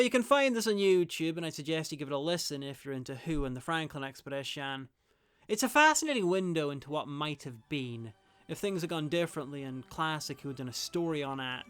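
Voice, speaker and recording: A man reads scripted review narration in a wry way. The voice is smooth and clear, pitched a little high for a man. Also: lively intonation, fairly quick, a bit bright, faint music behind.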